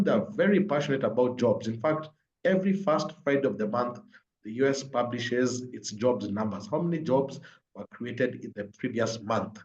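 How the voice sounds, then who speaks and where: A man's voice: medium pitch, darkish tone. Kenyan guy on a panel explaining stuff point by point, roomy sound, kinda muffled call. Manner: explanatory, builds points in numbered lists and repetition. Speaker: panelist, economic analyst. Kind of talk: spoken analysis at a virtual forum. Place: indoors, online call. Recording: roomy echo, dull lo-fi online call.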